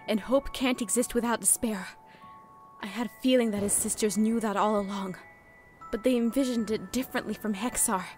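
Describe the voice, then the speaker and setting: An earnest young pony heroine with a dark past, voiced by a woman. Her slightly high voice stays subdued and steady, and she carries the weight of old mistakes. Faint music plays underneath. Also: natural voice. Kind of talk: fan audio drama acting.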